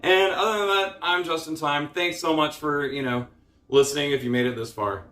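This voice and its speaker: A man's voice: on the high side, moderate pace, bright, forceful tone, reverberant. A man talking casually into his camera in a frustrated, tired ramble, full of fillers and casual swearing. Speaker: vlogger. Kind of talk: casual personal vlog rant.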